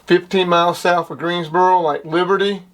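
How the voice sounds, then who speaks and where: A man's voice: high voice, steady tone, normal speed. A man talking casually like a CB radio hobbyist, in plain-spoken radio lingo. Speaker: CB radio operator and video host. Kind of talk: casual CB radio chat and commentary. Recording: clearly noisy, with radio hiss and clicks. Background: radio noise and clicks.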